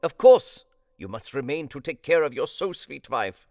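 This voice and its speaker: An actor playing a commanding, eager older foreign professor with formal, quaintly phrased speech in a radio play. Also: male voice, mid-range voice, very animated, normal speed, darkish tone, no room sound, studio quality. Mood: emphatic. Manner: formal, quaint inverted syntax.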